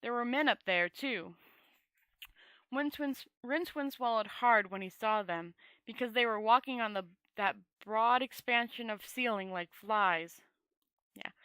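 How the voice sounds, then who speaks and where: Casting: female amateur audiobook reader, fairly high bright voice, dry close sound, halting delivery with self-corrections. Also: no room sound. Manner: halting, often stumbling and correcting herself. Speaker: storyteller. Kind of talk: amateur read-aloud audiobook narration.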